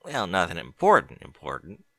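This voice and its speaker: A man's voice: sits low, huge pitch swings, moderate pace, vocal fry, sharp tone, no room sound, studio quality, pitched below the performer's own voice, heavily acted. A man voicing an earnest young farm pony mare in a fan fiction audiobook, talking in a plain, folksy way. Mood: hesitant.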